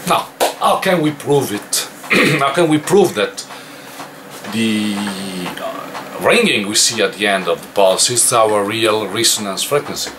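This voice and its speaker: Slow-talking man giving a hands-on demo. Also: lowish voice, expressive, noisy background. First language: not English. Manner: halting, thinking aloud while working. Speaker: electronics demonstrator. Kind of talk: informal hands-on technical tutorial.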